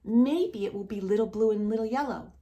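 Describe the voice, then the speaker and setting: A woman's voice: mid-range voice, fairly quick, warm tone. A woman hosting a children's storytime, speaking directly to young children in an encouraging, sing-song way with lots of little questions. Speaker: host of a children's storytime channel.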